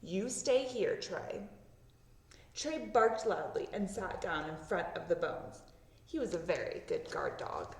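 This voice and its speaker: A woman reading a children's story aloud, with expressive narration that acts out the words. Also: on the low side, measured pace, gravelly, slight background noise. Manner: expressive, acting out sound words. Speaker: storybook reader. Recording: roomy echo, distant microphone.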